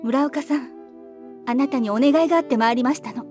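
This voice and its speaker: A woman's voice: high-pitched, brisk, darkish tone, faint music behind, lightly acted. A woman playing a refined, commanding actress with formal, ladylike, insistent speech in a Japanese audio drama. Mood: composed, commanding. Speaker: voice actor.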